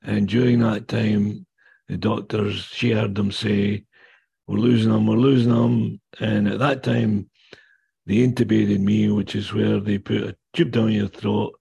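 Older male guest speaker, raspy and gravelly, low flat delivery, blunt and plainspoken. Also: measured pace. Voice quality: raspy voice from damaged vocal cords. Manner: plainspoken, blunt, mild swearing. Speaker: guest speaker sharing recovery story. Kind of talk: personal testimony talk.

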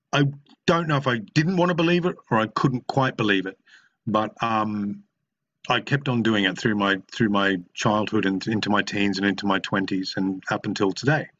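A man's voice: on the low side, fairly bright tone, dull audio. A middle-aged man with an Australian accent, sharing his personal story frankly and reflectively at a recovery meeting, often stopping and restarting his sentences. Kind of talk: personal testimony.

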